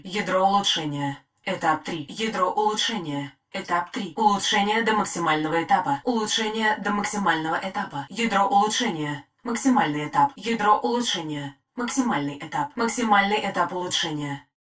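A woman voicing a calm, dutiful female war-machine AI in a video game, giving terse military status reports in a crisp, even, measured way. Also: on the low side, natural voice. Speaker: titan AI voice. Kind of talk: game voice lines. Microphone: studio mic.

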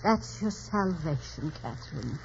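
A woman's voice: sits low, expressive, a little slow, vocal fry, a little mellow, full character voice. An actress playing a sensible, caring female confidante in old radio drama, earnest and admonishing. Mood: firm, consoling. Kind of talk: 1940s radio drama acting. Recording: dull old radio recording, faint noise.

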